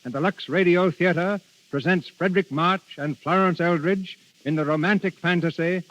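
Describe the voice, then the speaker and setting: A man's voice: sits high, steady tone, normal speed, fairly bright tone. A man narrating a vintage radio play in a formal, florid announcer style. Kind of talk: 1930s-40s scripted radio narration. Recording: muffled old radio broadcast recording. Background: music.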